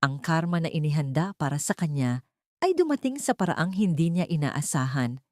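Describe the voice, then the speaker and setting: A woman reading a dramatic audiobook story, voicing every character herself in one steady storytelling voice. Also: deep voice, normal speed, dry recording, studio quality. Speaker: audiobook narrator. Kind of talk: scripted dramatic narration.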